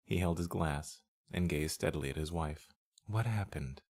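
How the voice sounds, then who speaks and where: A man's voice: a bass voice, flat delivery, moderate pace, a little mellow. A man working as a voice-over artist, reading scripted literary narration.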